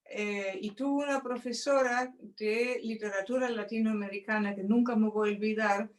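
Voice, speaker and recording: A woman's voice: medium pitch, moderate pace. A woman with an Argentine accent, a guest telling stories in a casual chat and laughing often. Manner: lively storyteller, laughs often. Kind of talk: casual conversation.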